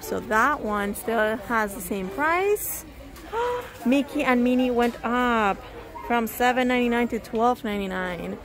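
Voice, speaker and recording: Shopping vlogger, woman, higher voice, phone-filmed in a shop with music playing. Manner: reads out prices, exclaims in surprise. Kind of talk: casual shopping walkthrough. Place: indoor discount store. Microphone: handheld phone camera. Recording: store music playing behind. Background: store music.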